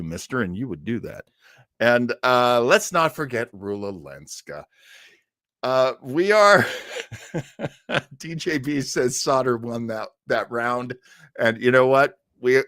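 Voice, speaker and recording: A man's voice: medium pitch, a bit bright, no echo, clean studio sound. A middle-aged man hosting a web show in a casual livestream chat, rambling and effusive, repeating words for emphasis. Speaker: host of a web show on old time radio. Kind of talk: casual livestream chat, answering audience comments.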